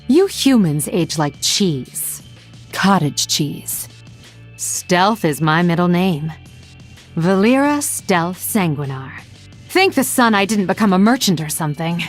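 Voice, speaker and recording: Sardonic female elf assassin, game character, teasing and theatrical, very expressive pitch, music underneath. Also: takes it slowly, full character voice. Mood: playful, cocky. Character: sly, sardonic young-looking female elf rogue assassin. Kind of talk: video game character voice lines.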